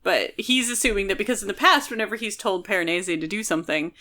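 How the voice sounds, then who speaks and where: A woman with a bright, forward voice talks as a podcast co-host about fiction. She is analytical and casual, building her points at length with small asides along the way. Her pitch sits in an ordinary middle range. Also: animated, fast talker. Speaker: podcast co-host discussing a novel. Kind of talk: casual analytical book discussion.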